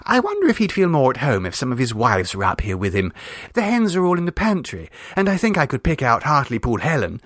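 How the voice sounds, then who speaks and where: A man's voice: medium pitch, wildly swooping pitch, a little fast, a bit bright, no echo, studio quality. A man voicing a chatty, blithely unconcerned teenage girl in a storybook reading, prattling breezily and matter-of-factly. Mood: coaxing, blithe. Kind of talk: storybook character voice.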